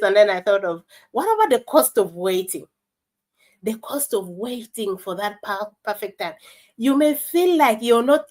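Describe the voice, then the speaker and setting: Woman giving an earnest motivational talk. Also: on the high side. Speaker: motivational business speaker.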